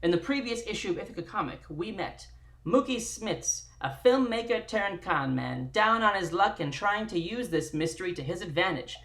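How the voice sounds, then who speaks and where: A woman's voice: mid-range voice, expressive, normal speed, fairly bright tone. A woman hosting a live-streamed table read, introducing it and narrating from a script.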